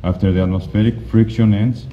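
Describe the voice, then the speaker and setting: A man giving a conference slide presentation, speaking haltingly with frequent restarts and fillers. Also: fairly low pitch, steady tone. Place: conference hall. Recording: dull, lo-fi recording with faint noise.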